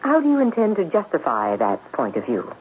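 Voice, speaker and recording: A woman's voice: low-pitched, wildly swooping pitch, a little fast. An actress playing a stern, haughty woman who speaks formally and condescendingly in a 1970s radio drama. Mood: cool, menacing. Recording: dull, noisy vintage radio recording.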